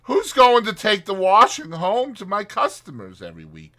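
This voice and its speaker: High, pompous storybook toad. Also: male voice, wildly swooping pitch, moderate pace, bright, forceful tone, exaggerated voice. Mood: indignant. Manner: pompous, indignant, wordy. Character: boastful, haggling, pompous toad, a male animal. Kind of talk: dramatized children's storybook reading.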